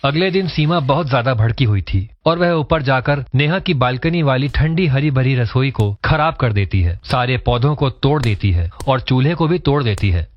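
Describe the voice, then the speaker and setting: A man narrates a cartoon family story from a script in a mid-pitched voice. The recording is dry and a little dull. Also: animated, normal speed, few pauses, no room sound.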